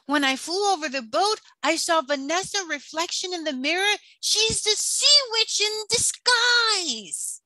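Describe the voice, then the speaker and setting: A woman voicing an excitable, alarmed male seagull in a storybook, with an urgent delivery. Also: extremely high pitch, a little slow, hardly any pauses, no room sound, pitched above the performer's own voice, heavily acted, poor audio. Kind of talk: storybook character voice.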